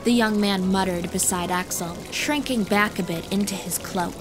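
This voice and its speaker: Female narrator for scripted fantasy fiction, medium pitch, over a clear music bed. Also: moderate pace. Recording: music behind the voice.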